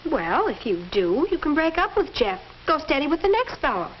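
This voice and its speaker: A woman's voice: sits high, very animated, normal speed. A young American woman playing a pushy, confident teenage girl, insistent and gushing, in a 1950s educational film. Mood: confiding, pushy. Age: young adult. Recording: dull, noisy old film soundtrack.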